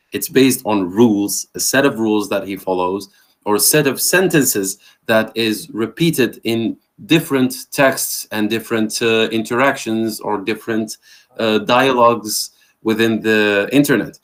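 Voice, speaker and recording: Low-voiced male language trainer. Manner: questioning, affirming with "there you go". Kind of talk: interactive online lecture.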